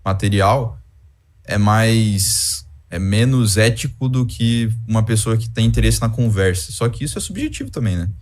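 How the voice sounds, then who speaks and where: A man thinks aloud in a relaxed, hesitant way, using many fillers. His voice sits on the low side. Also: moderate pace. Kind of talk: casual discussion.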